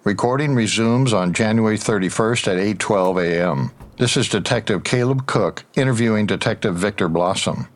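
An actor playing an officious police detective who interrogates with formal, pressing, insinuating questions in an audio drama. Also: male voice, lowish voice, moderate pace, few pauses, close to an everyday voice. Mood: officious, smug. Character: needling, officious male internal-affairs detective interrogating a colleague.

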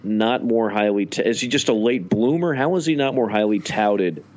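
A man with a somewhat low voice co-hosts a casual sports podcast. He talks in an animated, rambling way and often restarts his sentences. The recording sounds dull and lo-fi. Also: normal speed, slight background noise. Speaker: podcast co-host.

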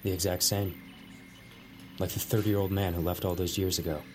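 An actor playing a matter-of-fact government official briefing an agent. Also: male voice, low voice, flat delivery, moderate pace, long reverb tail, heavy background noise, natural voice. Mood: dry. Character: dry, weary male government official.

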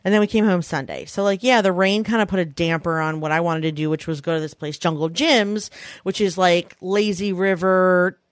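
A woman's voice: fairly low pitch, steady tone, normal speed, no room sound, clean studio sound. A woman in her thirties chatting casually as a podcast co-host, telling rambling stories with lots of asides. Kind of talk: casual chat.

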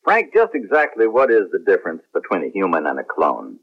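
A man's voice: low voice, huge pitch swings, a little fast, creaky, heavily acted. An American man acting a spaceman in a science fiction radio drama of the 1970s. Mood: probing, cool. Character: celebrated space hero captain, and his earnest clone. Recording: muffled lo-fi 1970s radio broadcast.

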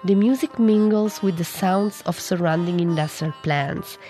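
A woman's voice: on the low side, unhurried, dark tone, soft background music. A woman reading scripted narration as a radio news reporter.

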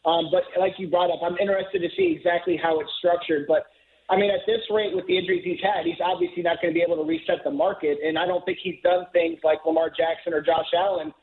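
A man's voice: high-pitched, fast. A man calling in as a football writer, talking analytically and fluently, now and then repeating a word as he starts a thought. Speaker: NFL writer guest. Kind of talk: phone-in radio interview. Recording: tinny phone line.

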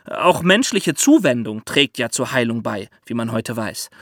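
A man hosting a podcast, explaining step by step in lively storytelling for children with theatrical emphasis. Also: medium pitch, a little slow, no echo, clean studio sound.

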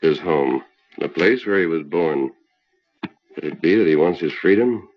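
A man's voice: rumbling low, lively intonation, slight background noise. An actor playing a heroic masked cowboy lawman, firm, earnest and commanding, in old-time radio western style. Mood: concerned, gentle. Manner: firm, earnest, measured delivery. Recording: muffled vintage radio recording.

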